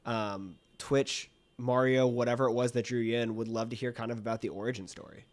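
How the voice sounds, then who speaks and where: A man's voice: medium pitch, steady tone, fairly quick. A man hosting a podcast in a casual, enthusiastic and informal way. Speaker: podcast host.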